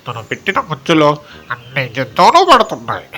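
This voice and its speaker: Bright, animated male storyteller. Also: on the high side, a little fast, soft background music. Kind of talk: children's story narration.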